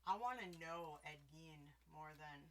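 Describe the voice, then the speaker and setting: A middle-aged woman hosting a casual podcast, a little drunk and slurring slightly, laughing loudly as she chats from her bed at home. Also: sits low, expressive, measured pace. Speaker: podcast host. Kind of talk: casual tipsy chat. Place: bedroom in a trailer home.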